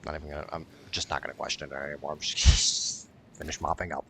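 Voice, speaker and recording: A man's voice: very deep voice, level tone, fast talker, very noisy. A man rambling through casual gameplay commentary, swearing and sounding wryly exasperated as he streams. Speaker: video game streamer.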